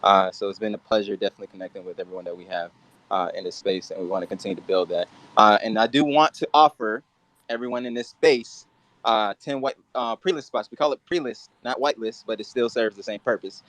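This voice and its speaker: A man pitching his project in a casual live audio chat, loose and relaxed, with many fillers. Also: fast talker, no room sound.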